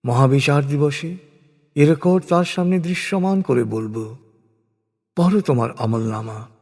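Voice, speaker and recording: A man reads scripted religious prose as a narrator, solemn and formal, with measured, declamatory phrasing. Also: fairly high pitch, darkish tone. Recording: long reverberant hall-like echo.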